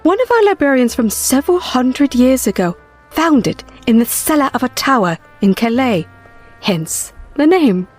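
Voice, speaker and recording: An actress playing a composed, earnest woman who retrieves occult books, with measured delivery in an audio drama. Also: high-pitched, very animated, soft background music. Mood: earnest, explaining.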